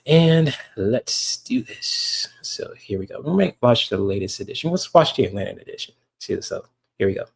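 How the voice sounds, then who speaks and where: A man hosting a casual reaction podcast who talks softly and rambles, with many "you know"s and restarts. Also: fairly low pitch, lively intonation, moderate pace, creaky, husky. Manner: soft-spoken, rambling, many fillers. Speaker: podcast host reacting to a clip.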